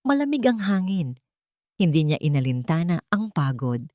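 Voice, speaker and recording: Female storyteller, lower register, close dry sound, scripted dramatic narration. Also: big pitch swings, moderate pace, dark tone, no echo.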